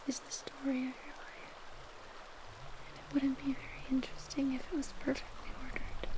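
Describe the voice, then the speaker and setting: Breathy whispering woman, ASMR relaxation. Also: sits high, rough recording. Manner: soothing, slow, reassuring. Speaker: ASMR roleplay host. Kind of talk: soft ASMR guided relaxation roleplay.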